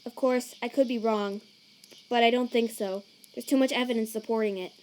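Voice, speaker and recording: A woman voicing a nervous female monster scientist in a fan comic dub, earnest and anxious, with a halting stammer. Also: a little high, fairly even intonation, a little mellow, close to an everyday voice, low-quality recording. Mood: hesitant, explanatory. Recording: chirping crickets and night ambience behind.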